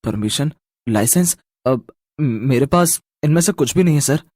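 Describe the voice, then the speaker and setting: A man's voice: mid-range voice, animated, moderate pace, a little mellow, no echo, studio quality, natural voice. A man playing a poor, honest young noodle seller in a cartoon story, plaintive and pleading. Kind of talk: animated story cartoon dialogue.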